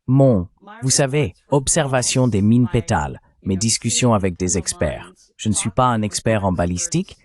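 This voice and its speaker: A man's voice: lowish voice, moderate pace, darkish tone. A man speaking as a dubbed interview guest, recounting events at length with indignation.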